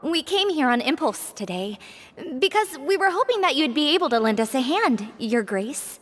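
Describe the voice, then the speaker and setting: A woman's voice: high-pitched, sing-song, normal speed, fairly bright tone, slight background noise. A woman voicing a polite, earnest, hopeful young prisoner in a video game, with a courteous, tentative manner. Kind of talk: dramatic video game acting.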